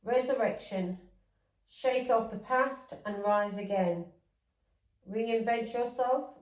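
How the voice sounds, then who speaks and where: A woman's voice: on the high side, slow, echoey room, distant mic, rough recording. A woman from England with an English accent with Irish touches, giving a casual tarot reading that rambles, repeats words and drifts into chatty asides. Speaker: tarot reader.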